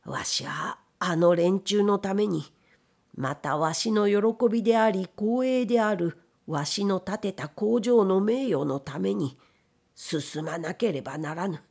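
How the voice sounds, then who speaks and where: A woman's voice: medium pitch, animated, a little slow, vocal fry, darkish tone, slightly rough, full character voice. A woman reading the part of a proud, bitter, grieving old blind factory owner in a storybook, with weary old-fashioned speech. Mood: weary, despairing. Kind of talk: dramatic literary reading.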